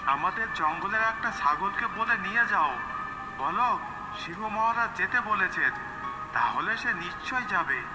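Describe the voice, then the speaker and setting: A man's voice: sits high, expressive, a little slow. A man voicing a concerned lion king in a cartoon, giving instructions with authority. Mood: commanding. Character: lion king of the jungle, concerned, commanding ruler. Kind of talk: cartoon animal voice acting. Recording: echoing reverb under loud music.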